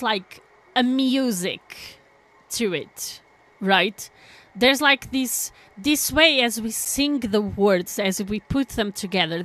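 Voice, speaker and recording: A woman's voice: fairly high pitch, pushed and bright. A woman from Portugal, chatting casually with her stream viewers in a rambling way, full of fillers and restarts.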